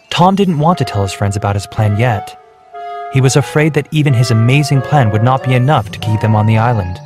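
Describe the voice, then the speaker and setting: A man reading scripted narration for a children's storybook, with music behind him. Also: medium pitch. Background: background music.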